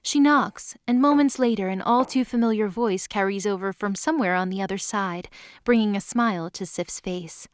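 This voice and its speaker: A woman's voice: medium pitch, normal speed, clear, resonant tone, dry recording, clean studio sound. A woman reading scripted story narration as the narrator of an audio drama.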